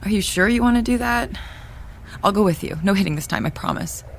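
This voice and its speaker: A woman's voice: medium pitch, fairly quick, gravelly, a little hiss or hum, close to an everyday voice. A woman playing a bossy, protective sister in an audio drama, speaking emphatically and insistently. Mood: concerned.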